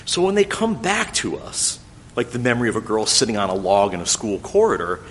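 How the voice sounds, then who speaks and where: A middle-aged man whose first language is French, telling a thoughtful, gently wry personal story in English. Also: fairly low pitch, very animated, fairly quick, a little hiss or hum.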